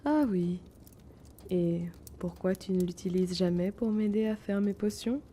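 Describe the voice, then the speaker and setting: Timid young potion-seller man, female performer: slow, mellow, soft and confiding, fantasy audio drama. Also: medium pitch, slight background noise, natural voice. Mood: teasing. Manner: soft, hesitant, confiding, often breathy.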